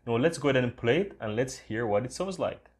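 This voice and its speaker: Man, mid-pitched voice, casual tutorial host, enthusiastic, repetitive phrasing. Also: lively intonation, a little fast. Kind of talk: casual screen-recorded software tutorial.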